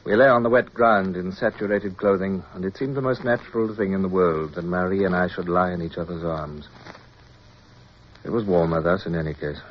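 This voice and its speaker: Male, commanding naval officer played by an actor, lowish voice, formal and crisp, dull vintage radio sound. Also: fairly quick, close to an everyday voice. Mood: sombre, tender. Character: resolute British naval officer, commanding yet tender, recounting his war. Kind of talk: radio drama acting and first-person narration. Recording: muffled, noisy old radio recording.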